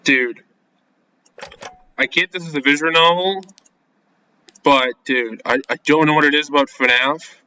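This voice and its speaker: A man's voice: on the high side, a little slow, long pauses, sharp tone, clean tone, poor audio. A young man playing a game on video, reading its text aloud and reacting in casual, jumpy slang as he talks to himself. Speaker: gaming video creator.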